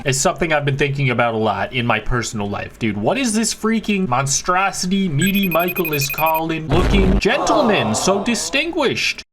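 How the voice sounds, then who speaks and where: Excitable jokey man reacting, lively pitch. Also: moderate pace, hardly any pauses, background noise. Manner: excitable, jokey, swears often, says "dude" a lot. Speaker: reaction streamer. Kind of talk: casual reaction commentary.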